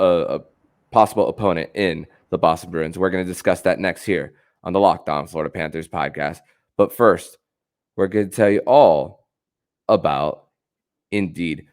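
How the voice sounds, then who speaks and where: A man hosting a sports podcast, speaking to an audience and reading sponsor spots. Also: deep voice. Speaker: podcast host.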